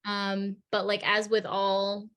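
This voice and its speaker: A woman's voice: medium pitch, completely flat delivery, slow pace, a bit bright, clear, resonant tone. Woman running a group meeting, thoughtful, keeps hunting for words. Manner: thoughtful, searching for words, affirming. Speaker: meeting facilitator. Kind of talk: facilitating a group discussion.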